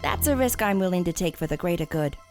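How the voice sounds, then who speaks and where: A woman voicing a calm, murderous female gardener who speaks softly and without hurry, in an audio drama with music behind her. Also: on the low side, close to an everyday voice. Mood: cold menace. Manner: soft, unhurried, menacing.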